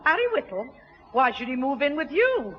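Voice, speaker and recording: Brisk woman, high and lively, wry and easily indignant, muffled old radio comedy. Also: slight background noise. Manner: wry, sharp-tongued. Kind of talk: 1960s radio comedy acting.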